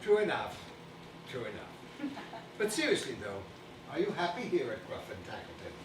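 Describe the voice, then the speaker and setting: An actor playing a gentle, doting older Victorian father, a humble toymaker, mild and hesitant in a stage play. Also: a little high, sing-song, moderate pace. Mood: mildly concerned. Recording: echoey distant stage recording, noisy.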